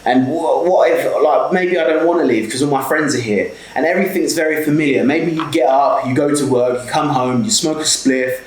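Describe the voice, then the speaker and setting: A man's voice: fairly high pitch, fairly quick, hardly any pauses, echoey room, rough recording. A man in his twenties with a South London accent, giving a blunt, sweary motivational talk straight to camera. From South London, England. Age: 20s. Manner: blunt, heavily sweary, repeats "okay?". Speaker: small business owner and mentor.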